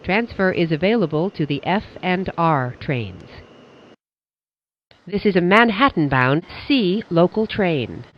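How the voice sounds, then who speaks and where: Slow, dull-sounding subway announcer. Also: mid-range voice, expressive, slight background noise. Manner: formal, clipped stock phrases. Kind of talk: scripted transit announcements.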